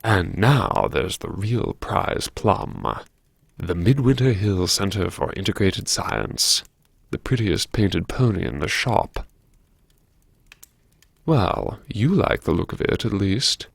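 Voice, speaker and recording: A man playing a wry, self-mocking university vice-chancellor narrating his memoirs in a gravelly, husky voice, savouring his words with arch, dramatic pauses. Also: low voice, sing-song, no echo, exaggerated voice. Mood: wry, relishing. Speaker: narrator of a serialised audio story. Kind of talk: theatrical first-person audiobook narration.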